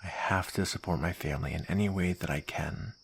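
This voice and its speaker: A man playing a hardened, resigned mercenary with a bitter, gravelly delivery in an ASMR roleplay drama. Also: low-pitched, slight background noise. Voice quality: gravelly, husky. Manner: bitter, grim, deliberate. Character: hardened, resigned male mercenary, former comrade.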